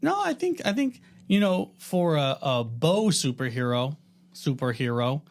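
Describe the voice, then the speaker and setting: A man's voice: a little high, sing-song. A man co-hosting a podcast, chatting casually and slangily about a show, often repeating his phrases. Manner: informal, slangy, halting delivery with repeated phrases. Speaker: podcast co-host. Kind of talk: casual TV review chat.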